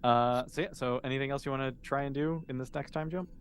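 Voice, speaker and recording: A man's voice: mid-range voice, steady tone, quick pace, a little hiss or hum. A man acting as game master, casually improvising narration for a tabletop role-playing game and thinking aloud as he goes.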